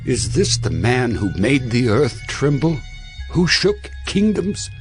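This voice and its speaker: An actor playing a scornful onlooker who mocks a fallen tyrant with incredulous declamation, in a dramatized scripture reading. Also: male voice, mid-range voice, sing-song, slow, faint music behind.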